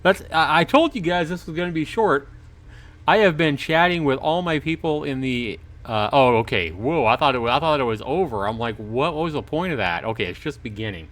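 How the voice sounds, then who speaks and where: A man's voice: a little high, animated, a little fast, fairly bright tone. A man chatting informally and jokingly with viewers as he reads and comments on a visual novel on a livestream. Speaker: livestreaming gamer reading a visual novel to chat. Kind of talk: casual livestream play-through commentary mixed with reading aloud.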